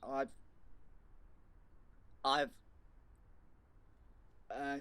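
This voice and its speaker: Casting: awkward grown son, hesitant, slow-spoken, anxious, for audio drama. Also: male voice, medium pitch, fairly even intonation, bright, forceful tone, clean tone. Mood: pleading, tongue-tied. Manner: halting, hesitant, tongue-tied. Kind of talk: audio play acting.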